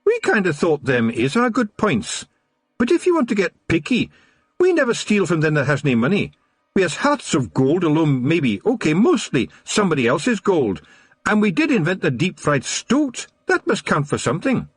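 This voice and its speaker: A man voicing a small, wild Scottish fairy chief, a cheeky rogue with a hoarse, whispery voice and a boisterous manner. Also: a little high, huge pitch swings, normal speed, no echo, voice pushed up, heavily acted.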